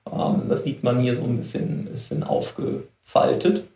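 A man's voice: mid-range voice, unhurried, dark tone, reverberant, a little hiss or hum. A man explaining production work informally in an interview, hesitant and correcting himself as he goes. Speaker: visual production expert.

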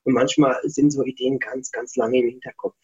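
A man from Germany, an author, answering interview questions over the phone in a relaxed, chatty way with many fillers. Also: medium pitch, moderate pace. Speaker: book author. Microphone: phone line. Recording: muffled phone-call sound.

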